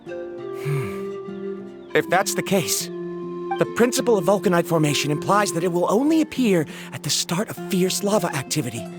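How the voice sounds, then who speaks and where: A doubtful young scholar. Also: male voice, high-pitched, very animated, normal speed. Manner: analytical, doubtful. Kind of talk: video game voice acting. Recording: game audio with background music.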